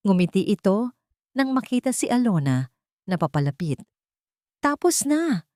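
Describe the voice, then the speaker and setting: A woman's voice: medium pitch, very animated, moderate pace, mellow, no room sound, studio quality. A woman reading a scripted dramatic story as an audiobook narrator.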